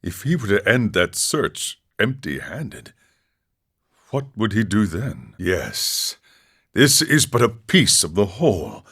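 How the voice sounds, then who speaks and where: A male voice actor playing a grave, proud yet regretful warrior monk in a video game, speaking in a measured, philosophical way. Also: lowish voice, sing-song, a bit bright, breathy edge, exaggerated voice. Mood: reflective. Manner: measured, philosophical, speaks of himself in third person. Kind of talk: scripted video game character dialogue.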